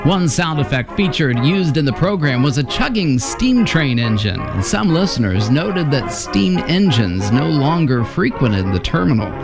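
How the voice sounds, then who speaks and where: A man hosting, reading scripted narration. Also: on the high side. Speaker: podcast host. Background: music.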